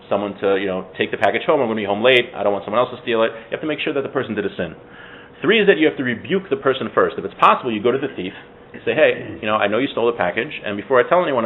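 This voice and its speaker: A man giving an informal religious class as its teacher, conversational, explaining texts with everyday examples. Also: lowish voice. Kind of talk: informal religious lecture with Hebrew source readings translated. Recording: muffled phone-like audio with faint background noise.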